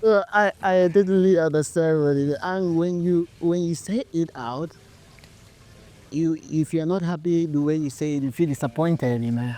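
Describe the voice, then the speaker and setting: A man's voice: fairly high pitch, moderate pace, mellow, rough recording. A man hosting a casual interview, asking short questions and giving brief replies.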